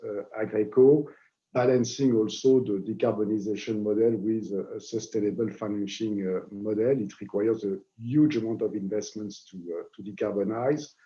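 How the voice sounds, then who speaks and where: French man presenting over a call; low-pitched, dark tone, halting delivery with many fillers, muffled audio. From France. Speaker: guest presenter. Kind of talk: webinar presentation. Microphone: video call. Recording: dull lo-fi online call audio.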